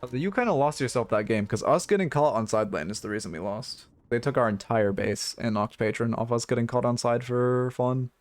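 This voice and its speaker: A hoarse man giving blunt, rambling game coaching notes on a livestream, full of fillers and restarts. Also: medium pitch, brisk, hardly any pauses, no room sound. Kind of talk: casual livestream coaching talk.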